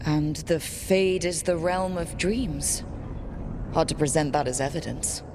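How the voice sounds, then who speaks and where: A woman playing a composed, direct fantasy team leader in video game dialogue, plain-spoken, calm and inquisitive. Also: sits low, unhurried. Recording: clear background noise under the voice.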